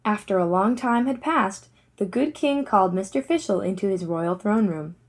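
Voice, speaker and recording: A woman narrating a children's fairy tale in an expressive, deliberate storybook manner. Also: medium pitch.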